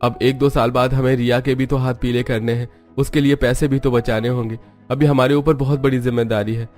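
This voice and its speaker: A man performs a poor, hardworking labourer in a cartoon family drama. He sounds weary yet devoted, in a middle-pitched voice. Faint music plays behind him. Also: level tone, fairly quick, darkish tone, natural voice. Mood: earnest, reassuring.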